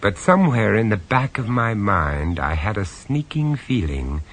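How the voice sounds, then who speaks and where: A man narrating a radio horror story in the first person, measured and dramatic, with dry sarcasm when he speaks to the others. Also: lowish voice, big pitch swings, slight background noise. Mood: grave. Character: grave, wry male storyteller on a castle tour.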